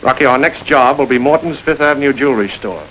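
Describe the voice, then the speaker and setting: An actor voicing a suave gentleman crook from an old crime film, talking quickly with dry, urbane wit. He gives orders smoothly, never raising his voice much, over a faint tape hiss. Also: medium pitch, natural voice, poor audio. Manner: urbane, wry and smoothly commanding. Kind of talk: 1930s film crime drama.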